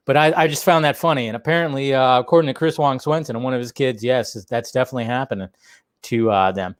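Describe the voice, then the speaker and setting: A man's voice: mid-range voice, quick pace, smooth, dry recording, clean studio sound. A man hosting a live stream, chatting casually and loosely to his viewers and often repeating his phrases. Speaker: host of a live pop-culture talk stream. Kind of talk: casual rambling livestream chat.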